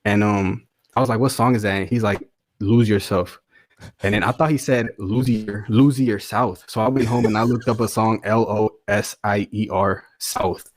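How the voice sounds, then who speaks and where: A man's voice: lowish voice, steady tone, moderate pace, no room sound. A man in his twenties telling stories casually as a podcast guest, in very slangy talk. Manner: slangy, says bro and dude often. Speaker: podcast guest, rapper and music producer. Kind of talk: casual chat storytelling.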